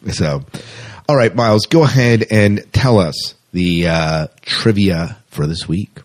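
A man with a fairly low voice hosts a casual podcast. He talks in a loose, conversational manner and tends to ramble as he goes. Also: slow pace. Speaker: podcast co-host.

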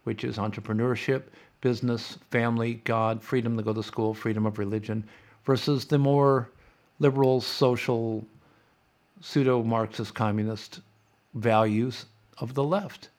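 A man's voice: mid-range voice, steady tone, a little slow, a little mellow. A middle-aged man hosting a podcast as a sarcastic, opinionated casual monologue, congested and losing his voice. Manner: sarcastic, mock-chanting opponents. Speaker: podcast host.

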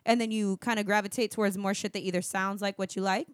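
A woman born in Puerto Rico hosts a loose studio chat, talking animatedly and swearing freely. Her pitch sits mid-range for a woman. The sound is dry and close, with no room echo. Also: quick pace, clear, resonant tone. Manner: animated, swearing, laughs often. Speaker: podcast host. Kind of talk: casual chat. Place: small studio.

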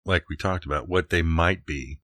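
A man's voice: very deep voice, normal speed, bright, forceful tone, dry recording. A man co-hosting a podcast, talking in an informal, agreeable, conversational way. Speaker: podcast co-host, architecture teacher. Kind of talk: casual conversational podcast talk.

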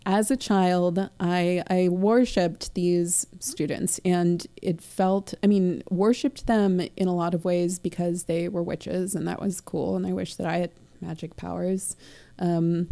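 A woman in her late twenties chats about books in a casual, digressive way. Her voice sits a bit low and sounds dark and mellow, with a slight crackle. She drops in dry, self-mocking asides as she goes. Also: steady tone, a little slow, creaky. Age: late 20s. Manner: chatty, digressive, wry. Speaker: podcast co-host. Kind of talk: casual book discussion.

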